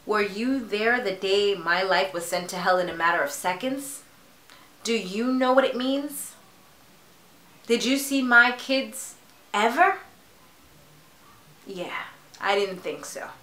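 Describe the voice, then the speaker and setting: A woman playing a grieving, defensive mother in a halting, emotional dramatic monologue addressed to a doctor. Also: medium pitch, fairly bright tone. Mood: bitter, wistful. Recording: echoey room.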